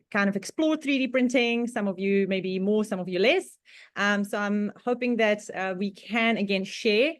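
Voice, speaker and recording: A woman's voice: mid-range voice, dry recording, clean studio sound. A woman from the Czech Republic with a Czech accent, presenting on a video call in a chatty way, with frequent laughs and many fillers. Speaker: guest presenter. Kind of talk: online club presentation.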